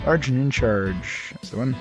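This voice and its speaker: Brief: congested, slightly nasal man, mid-pitched, chatting loosely through a game session, faint game music behind. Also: normal speed. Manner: rambling, chuckling, many fillers. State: congested, recovering voice. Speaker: game streamer. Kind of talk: casual let's-play commentary.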